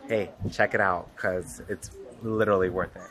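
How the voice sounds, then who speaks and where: A man's voice: low voice, steady tone, normal speed, crowd noise. A man in his thirties talking earnestly and with enthusiasm as a travel vlogger, filmed at arm's length on a road trip. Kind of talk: casual travel vlog. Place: outdoors at a church and in a car. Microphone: handheld camera at arm's length.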